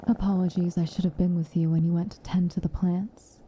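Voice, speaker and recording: A woman playing a stern but caring witch in an ASMR roleplay, speaking softly and with measure, dryly commanding and often slipping into a whisper. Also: on the low side, flat delivery, brisk, noisy background, lightly acted. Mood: patient explaining. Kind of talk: ASMR roleplay, intimate acted monologue.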